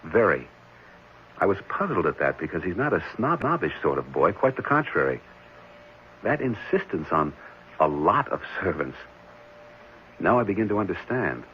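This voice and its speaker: A man's voice: sits low, sing-song, normal speed, long pauses. A man playing a concerned, thoughtful and somewhat puzzled ordinary man in a 1970s radio drama, speaking conversationally. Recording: dull, slightly noisy 1970s radio recording.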